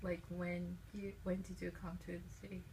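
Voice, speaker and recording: A woman asking casual interview questions in a tentative, halting way. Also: fairly low pitch, completely flat delivery, darkish tone. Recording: faint background noise.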